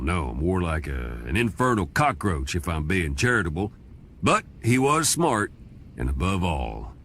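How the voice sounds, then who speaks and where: An actor playing a wry old talking skull who narrates a game's cutscenes in a folksy, sardonic Southern American drawl. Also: male voice, low-pitched, a bit bright, a little hiss or hum, full character voice. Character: wry old talking skull, male demonic drifter storyteller. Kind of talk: scripted game cutscene narration. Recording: music behind the voice.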